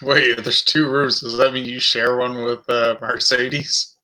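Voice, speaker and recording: A man's voice: mid-range voice, level tone, a little slow, pushed and bright. A man playing a tabletop role-playing game, chatting casually and describing what his character does.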